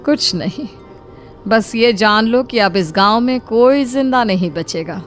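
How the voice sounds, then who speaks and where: Horror cartoon casting: vengeful female spirit, done by a woman, higher-pitched, anguished and threatening. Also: animated, unhurried, soft background music. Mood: cold menace. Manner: menacing, anguished. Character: vengeful ghost of a wronged village woman. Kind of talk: dramatised horror cartoon story.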